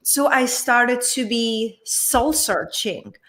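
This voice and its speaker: A woman with a Czech accent, speaking in a slightly raised voice. She tells her life story as a guest on a talk show, earnest and reflective, sometimes drawing her words out slowly. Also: fairly high pitch, animated, fairly bright tone. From Czech Republic. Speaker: guest coach.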